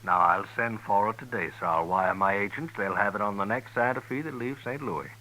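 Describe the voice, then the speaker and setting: A slick, overly courteous gambler who lays on his gentlemanly manners. His voice is a little low, and he keeps tacking sir onto everything. A steady hiss from an old radio broadcast runs under him. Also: a little fast, poor audio. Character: smooth-talking male Southern gambler, self-styled gentleman. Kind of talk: 1950s radio drama acting.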